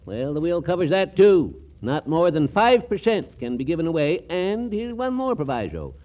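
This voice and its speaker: An actor playing an officious older estate lawyer, formal and hemming, in 1940s radio comedy style. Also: male voice, a little high, wildly swooping pitch, normal speed, a little mellow. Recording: muffled old 1940s radio broadcast.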